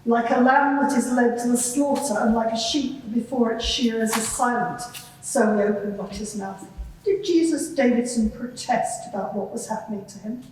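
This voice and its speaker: A woman's voice: fairly high pitch, normal speed, a little mellow, a little hiss or hum, close to an everyday voice. A woman playing a composed, probing lawyer who questions a witness formally and insistently in a live courtroom drama. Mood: measured, probing. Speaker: examining lawyer in a dramatised inquiry. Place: large reverberant courtroom, live event. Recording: very echoey hall, distant microphone.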